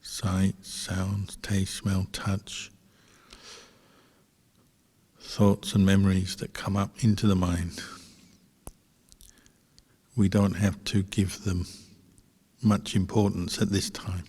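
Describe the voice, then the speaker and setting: A man, a Buddhist monk, guiding a meditation calmly and without hurry, with a husky voice and long pauses between short phrases. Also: low voice. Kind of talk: guided meditation instruction. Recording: reverberant hall, long echo.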